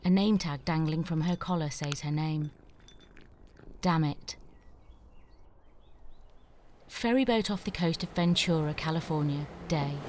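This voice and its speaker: A woman narrating the stage directions of a screenplay in a clear, measured way. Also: sits low, a little mellow, slight background noise.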